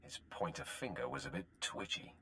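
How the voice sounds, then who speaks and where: A Sith warrior with a very low, animated voice. He is stern and confident, a dutiful enforcer who speaks tersely and formally in cinematic game style. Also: moderate pace, slight background noise, heavily acted. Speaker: voice actor in a game. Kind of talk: cinematic video game acting.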